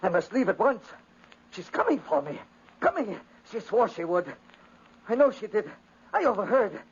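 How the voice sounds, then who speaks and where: An actor playing a crazed, paranoid aristocrat in a feverish, theatrical 1950s radio style. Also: male voice, high-pitched, sing-song, normal speed, slightly rough, heavily acted. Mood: frantic terror. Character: crazed, paranoid aristocrat haunted by his sister. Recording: dull, noisy 1950s radio recording.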